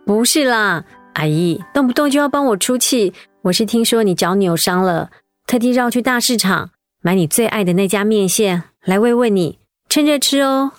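Woman playing caring mother, middle-aged, radio drama, gentle, patient, mid pitch. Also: animated, normal speed, dry recording, faint music behind, close to an everyday voice.

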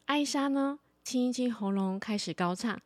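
Female children's storyteller, high voice, close dry sound over light music. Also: moderate pace, no echo. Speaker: children's story podcast host.

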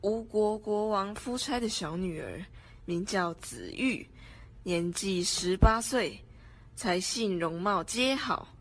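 Slow-paced teenage female narrator. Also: medium pitch, expressive. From Taiwan. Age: teens. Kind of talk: scripted story narration.

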